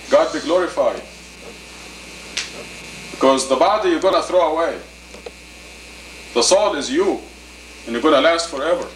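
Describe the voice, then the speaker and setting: A man in his fifties giving a sermon as an imam, deliberate and emphatic, in short phrases and rhetorical questions. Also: on the high side, fairly bright tone. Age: 50s. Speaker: imam, preacher. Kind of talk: sermon, teaching plainly to a congregation. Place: indoor meeting room. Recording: roomy, distant camcorder audio with faint noise.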